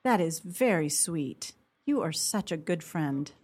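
A woman's voice: low voice, animated, measured pace, a little mellow. An actress playing an emotional, earnest woman talking with a close friend in a radio play. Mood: apologetic, tender. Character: woman friend, emotional, sports-loving, turning sweetheart.

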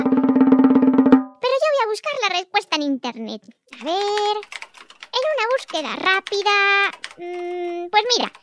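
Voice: extremely high pitch, very noisy. A performer voicing a small, eager, childlike underwater creature who explains things with exclamations on a children's podcast. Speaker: children's show co-host. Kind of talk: scripted children's podcast chat.

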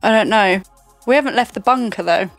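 A woman's voice: mid-range voice, a little fast, gravelly, no room sound, soft background music. Wry woman co-presenting. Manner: dry, wry humour. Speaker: tech show co-presenter. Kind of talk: scripted co-presenting and banter.

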